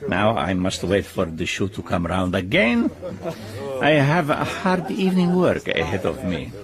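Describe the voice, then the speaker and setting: An actor playing a theatrical, jovial yet needling Italian gambler in a radio drama, effusive and full of questioning hums, with an Italian accent. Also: male voice, low voice, very animated, a little slow, a crowd in the background, full character voice. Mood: gracious.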